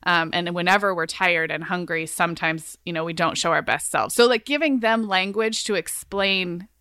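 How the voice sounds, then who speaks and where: A woman's voice: lowish voice, moderate pace, sharp tone, smooth, no room sound, clean studio sound. A woman co-hosting a podcast, giving advice conversationally and thoughtfully, often spelling things out with example phrasings. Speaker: podcast co-host. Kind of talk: casual conversational advice talk.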